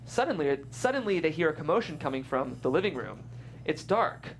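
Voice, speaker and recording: A narrator reading stage directions aloud at a script table read, amused and lively. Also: mid-range voice, fairly quick, slight background noise, poor audio.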